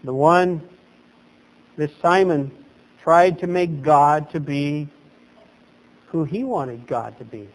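A middle-aged man preaching a sermon as pastor at a lectern in a small chapel, animated and gesturing, his voice leaping up for emphasis. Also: on the high side, slow pace, pauses often, poor audio.

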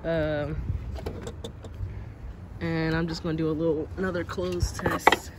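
A woman's voice: low voice, flat delivery, measured pace, noisy background. A young adult American woman chatting casually to camera, thinking aloud in an informal way on a handheld phone in and around a car. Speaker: vlogger. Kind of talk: casual DIY chat to camera. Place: in and beside a parked car.

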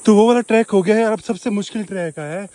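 Brief: young male travel vlogger, very high voice, excitable and winded, selfie phone outdoors. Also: fast talker, dry recording. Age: young adult. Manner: excitable, joking, breathless from hiking. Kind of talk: casual travel vlog. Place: outdoors on a mountain trail. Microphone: handheld phone camera at arm's length.

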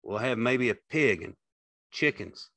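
A man's voice: medium pitch, animated, unhurried, gravelly, pushed and bright, dry recording, voice pushed up, full character voice. A man playing a weary, protective, tender working man in an audiobook, speaking in a plain, folksy way. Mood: reassuring, tender. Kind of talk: audiobook character acting.

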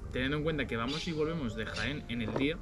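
Male vlogger, easygoing and jokey, talks over loud background music. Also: mid-range voice, quick pace. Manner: joking, laughing, swears casually. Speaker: vlog host. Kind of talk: casual road trip vlog chat. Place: in a car and out in a small town.

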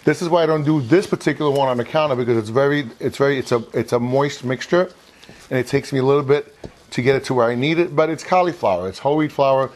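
A man in his fifties talks quickly through an informal cooking demonstration. His pitch is average for a man. He is chatty and circles back to rephrase what he just said, recorded on a lapel mic in a home kitchen. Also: a little mellow. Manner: chatty, repeats and rephrases himself. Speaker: cooking show host.

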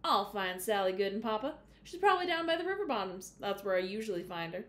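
A woman's voice: mid-range voice, very animated, fast, fairly bright tone, echoey room. A woman reading aloud, voicing an eager fourteen-year-old country boy who protests and boasts that he can look after himself. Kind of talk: storybook read-aloud.

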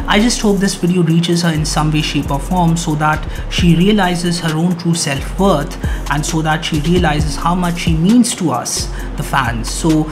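A man's voice: on the high side, unhurried. A young man on a video channel, talking to camera from his small home studio in an earnest, dramatic, flowery way. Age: young adult. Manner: dramatic, earnest, flowery wording, lively hand gestures. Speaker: YouTube creator. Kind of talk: earnest scripted monologue to camera. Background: background music.

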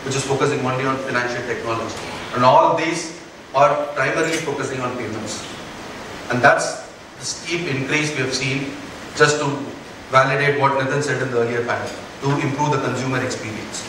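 A man in his forties with an Indian English accent, giving an earnest conference keynote on a large stage and often checking in with the audience with a quick "right?". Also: medium pitch, background noise. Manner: earnest, rhetorical questions, frequent "right?" tags. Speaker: keynote speaker. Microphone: headset mic. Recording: echoey hall, heard from far off.

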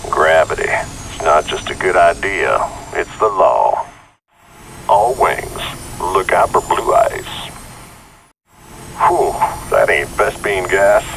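Gravelly, cocky starfighter pilot. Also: male voice, deep voice, expressive, slow pace, pauses often, heavily acted. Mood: cocky, wry. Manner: clipped military radio talk, swaggering. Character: cocky male starfighter pilot, gruff and sardonic. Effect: radio comms through pilot mask. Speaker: game unit voice. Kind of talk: video game unit barks. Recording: noisy cockpit radio transmission.

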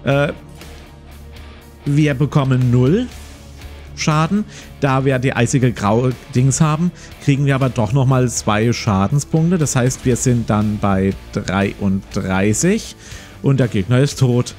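A man's voice: mid-range voice, slow, a little mellow. A man in his forties hosting a let's play, reading a gamebook aloud and commenting casually. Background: plucked guitar music.

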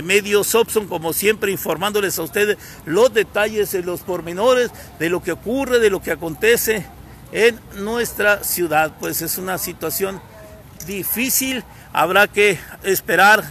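Indignant male street reporter, bright voice. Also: high-pitched, slight background noise. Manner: indignant, repetitive, critical of authorities. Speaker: local crime reporter. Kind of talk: live on-scene news commentary. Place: outdoors on a city street at a crime scene. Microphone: phone camera held by the reporter.

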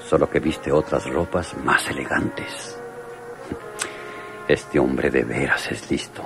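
An actor playing a cautious, grave Mexican police lieutenant in a radio drama, speaking with deliberate authority. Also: male voice, a bass voice, exaggerated voice. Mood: pensive, concerned.

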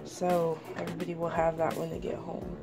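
Woman in her thirties, on the low side with some fry, a little hoarse from a cold, chatting while she cooks on a kitchen-counter camera. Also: monotone, a little fast, music in the background. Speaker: vlogger. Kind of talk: casual home cooking vlog. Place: small home kitchen.

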